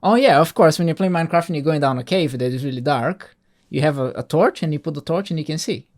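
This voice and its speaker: Man gently explaining to a child. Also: fairly high pitch, lively intonation, fast. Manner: simple explanations with frequent check-in questions. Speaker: host, parent teaching a child a Bible passage. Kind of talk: casual Bible talk with a young child.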